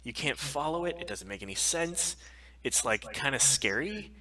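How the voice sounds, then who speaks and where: A man's voice: fairly high pitch, lively intonation, moderate pace, a crowd in the background. A man co-hosting a casual chat stream, giving opinions in an emphatic, rambling way. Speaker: co-host of a weekly pop culture stream.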